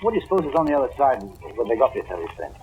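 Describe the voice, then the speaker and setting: A man's voice: medium pitch, very animated, racing, a little mellow, lightly acted. An actor playing a brash, stubbornly curious man, pushy and informal, in a 1940s radio drama. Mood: curious, scheming. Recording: muffled, noisy 1940s radio recording.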